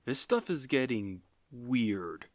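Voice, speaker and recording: A man's voice: mid-range voice, very animated, slow pace, slight background noise. A man playing an anxious, doubting young teammate, nervous and hesitant, in an audio drama. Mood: worried.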